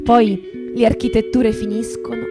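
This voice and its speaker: High-voiced woman narrating over guitar music. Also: little pitch movement, unhurried. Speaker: narrator reading a novel on radio. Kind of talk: dramatic literary narration with music. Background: plucked guitar music.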